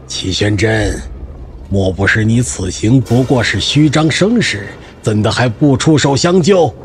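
An actor playing a domineering ancient immortal patriarch in an audio drama, booming, menacing and taunting. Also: male voice, lively intonation, measured pace, a little hiss or hum, close to an everyday voice. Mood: mocking, taunting.

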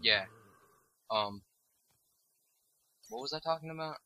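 A man's voice: mid-range voice, takes it slowly, pushed and bright. A tired young man rambling through casual gameplay commentary, swearing freely and losing his train of thought. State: tired and stoned. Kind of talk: casual let's-play commentary.

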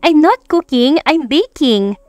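A feisty young wife from a romance audio drama, voiced by a woman narrator. Her voice is high, snappy and sarcastic, quick to get annoyed. It is dry, over music. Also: animated, a little mellow, dry recording.

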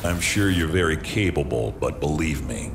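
Very deep, bitter workman. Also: lively intonation, moderate pace, background noise. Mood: grudging. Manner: grumbling, world-weary, grudging. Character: bitter, gruff laid-off male workman, down on his luck. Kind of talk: video game voice acting.

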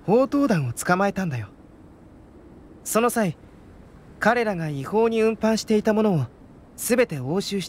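A man playing a composed young alchemist knight in a video game, explaining things in a measured, scholarly, analytical manner. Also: high voice.

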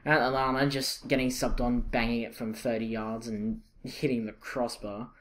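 A young Scottish man giving casual, excitable commentary over a football video game, chatty and full of fillers and self-corrections. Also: mid-range voice, normal speed. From Scotland. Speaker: gaming channel host.

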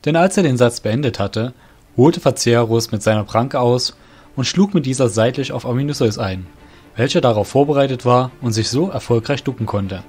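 A man's voice: medium pitch, normal speed, darkish tone, breathy edge, soft background music. A man narrating a scripted fantasy audio drama in a literary storytelling manner.

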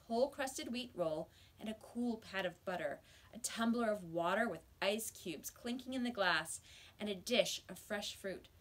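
Woman reading a children's story, medium pitch. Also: fairly bright tone. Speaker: teacher reading aloud. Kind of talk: children's storybook read-aloud. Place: small school office or library room, indoors.

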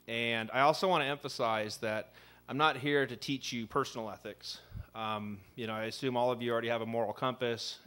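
A man in his thirties leads a public ethics training as an assistant city attorney, speaking into a desk microphone in a city meeting room. His voice sits at a middling pitch and carries loud. He talks in a loose, conversational way full of fillers. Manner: says he has a loud voice. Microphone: desk microphone on a stand.

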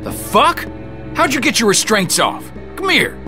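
An actor playing a surly, foul-mouthed Southern US armed guard in a video game. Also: male voice, extremely high pitch, sing-song, measured pace, heavily acted. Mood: irritated, aggressive. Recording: music playing behind.

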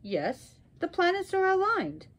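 A woman playing a placating, flattering male creator god in a told myth, coaxing and breezily self-assured. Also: extremely high pitch, a little slow, pitched above the performer's own voice, heavily acted. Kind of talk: oral mythological storytelling.

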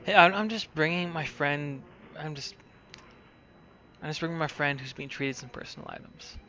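Stammering male gamer, slightly high, dull audio. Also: moderate pace, sharp tone, a little hiss or hum. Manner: stammering, hesitant, casual. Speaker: gamer roleplaying the player character. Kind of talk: improvised in-character roleplay over gameplay.

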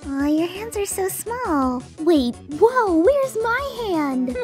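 A sweet, mischievous cartoon little girl acted by a woman, extremely high and unhurried. The lilting comic-dub delivery sits over music. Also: sing-song, full character voice. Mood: curious. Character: small innocent young girl, sweet and mischievous. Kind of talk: amateur comic dub character acting.

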